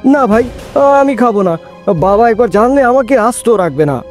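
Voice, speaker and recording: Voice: mid-range voice, animated, normal speed, a little mellow, lightly acted. A performer voicing an earnest, pious young boy in a moral cartoon. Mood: firm refusal. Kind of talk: cartoon voice acting. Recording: music behind the voice. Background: background music.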